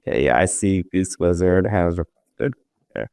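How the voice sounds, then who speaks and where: A man's voice: low voice, lively intonation, normal speed, gravelly, darkish tone, dry recording. A man hosting a casual live audio chat, asking upbeat questions with lots of fillers.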